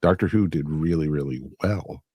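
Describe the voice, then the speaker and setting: Very deep-voiced man hosting a podcast. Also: normal speed, dark tone, no echo, clean studio sound. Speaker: podcast host. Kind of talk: casual podcast discussion.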